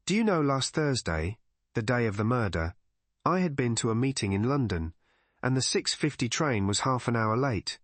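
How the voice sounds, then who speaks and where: A man plays a talkative village chemist in a mystery audiobook. His voice is medium in pitch, recorded dry. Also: expressive, normal speed, dry recording, close to an everyday voice. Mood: chatty. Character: chatty male village chemist.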